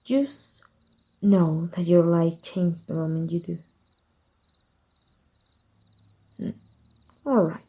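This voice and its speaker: Woman acting a dark, husky, seductive sorceress teacher. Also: on the low side. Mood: solemn, measured. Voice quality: husky, breathy. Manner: slow, hushed, deliberate, seductive. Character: evil female magic teacher, tender, teasing, secretly lovestruck mage. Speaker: voice actor. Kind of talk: intimate ASMR roleplay acting.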